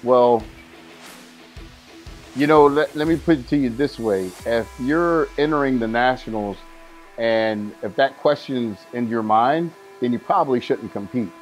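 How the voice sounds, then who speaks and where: Blunt advising bodybuilding veteran. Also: male voice, medium pitch, darkish tone. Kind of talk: documentary interview. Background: music.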